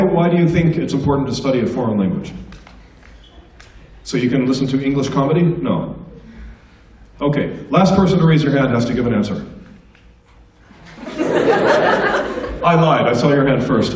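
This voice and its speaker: A man's voice: a little high, a little mellow, a little hiss or hum. A middle-aged American man telling comic stories and teasing students as a guest speaker at a school assembly. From United States. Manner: joking, teasing the audience. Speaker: guest speaker, stand-up comedian. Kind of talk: stand-up storytelling to students. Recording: echoey hall from far off.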